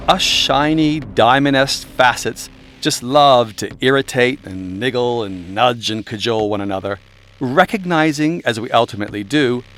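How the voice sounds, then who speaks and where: A man with a mid-pitched voice narrates an audiobook in a wry, irreverent way. He leans into words with theatrical emphasis, like an author savouring his own script. Music plays behind his reading. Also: a bit bright.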